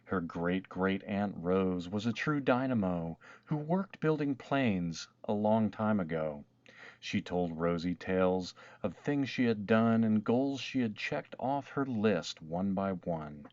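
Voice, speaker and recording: A man reads a rhyming storybook in a low voice. He takes his time with a slow, measured delivery. The sound is dry and a little muffled. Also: animated, darkish tone, dry recording. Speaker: storybook narrator. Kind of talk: rhyming read-aloud narration.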